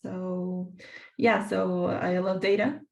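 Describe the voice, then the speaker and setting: A woman's voice: lowish voice, level tone, slow, gravelly. A woman from Brazil introduces herself lightly as a student, laughing her words off. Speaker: student, industrial engineer. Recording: echoey room, distant from mic.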